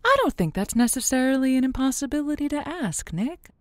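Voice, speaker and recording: A woman's voice: sits high, big pitch swings, brisk, no echo, clean studio sound. An actress playing an earnest, folksy woman who hosts a radio dating-advice show, chatty and playful. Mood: earnest, encouraging. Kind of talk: audio drama acting as late-night radio host.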